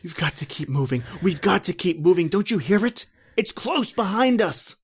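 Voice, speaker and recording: An actor playing a terrified, desperate man recounting his flight from a monster, in intense old-time radio horror style with drawn-out, repeating phrases. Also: high voice, lively intonation, breathy edge. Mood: desperate urging. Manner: intense, breathless repetition, drawn-out dramatic phrasing. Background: music.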